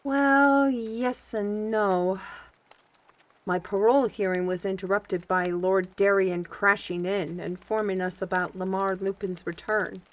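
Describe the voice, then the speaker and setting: Cheeky adventurer female actor, medium pitch, excitable, wordy, teasing, folksy, for fantasy audio drama. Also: unhurried, close to an everyday voice. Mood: explaining.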